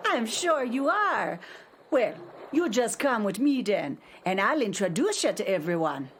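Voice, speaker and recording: Slow, gentle, folksy caretaker; motherly and patient. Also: a little high, sing-song, slight background noise. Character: kindly nursing home caretaker woman. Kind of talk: audio drama acting.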